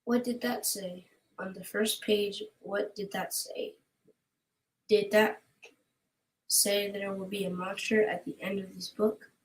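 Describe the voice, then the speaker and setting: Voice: mid-range voice, level tone, measured pace, low-quality recording. A young co-host reading aloud and chatting at a family storytime. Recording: noticeable background noise.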